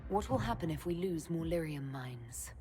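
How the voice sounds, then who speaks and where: A woman playing a composed, dutiful leader in a fantasy video game, speaking formally and deliberately over noisy ambience. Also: sits low, expressive. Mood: composed, businesslike. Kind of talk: game cutscene dialogue.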